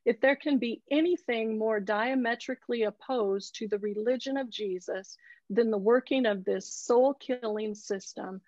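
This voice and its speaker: Slow, emphatic high-voiced woman. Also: no room sound. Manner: emphatic, deliberate, repeats key words for weight. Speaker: photographer giving a talk. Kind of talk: earnest presentation and discussion. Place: indoor room on a video call. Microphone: earbuds on a video call. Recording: dull, dry video-call audio.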